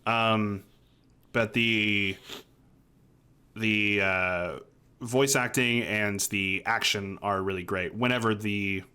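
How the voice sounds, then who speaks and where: A man's voice: on the low side, fairly even intonation, slow, long pauses, bright, forceful tone, clean tone. Casual man podcasting about screen entertainment. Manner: swears casually. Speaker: podcast co-host. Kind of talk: casual film and TV chat. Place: small home room, remote call.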